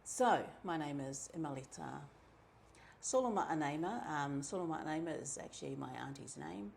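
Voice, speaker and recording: A middle-aged woman from New Zealand with a New Zealand accent, telling her own story in a relaxed, chatty way, with many ums and asides. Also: sits low, moderate pace, vocal fry, slight background noise. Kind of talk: casual personal storytelling.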